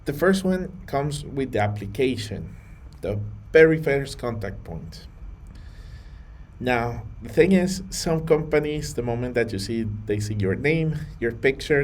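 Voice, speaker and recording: A man's voice: mid-range voice, animated. Earnest man. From Colombia. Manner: earnest, measured, with fillers and restarts. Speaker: vlogger on data careers. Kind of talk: to-camera talk.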